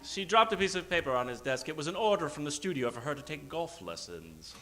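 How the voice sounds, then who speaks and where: A man's voice: fairly high pitch, very animated, a little fast, pushed and bright. A man giving theatrical, improvised narration as announcer in the style of old-time radio. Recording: roomy stage sound, music behind.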